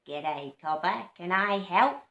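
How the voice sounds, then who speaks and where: A woman voicing a friendly, croaky male tree frog. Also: fairly low pitch, animated, slow pace, a bit bright, echoey room, lo-fi sound, exaggerated voice.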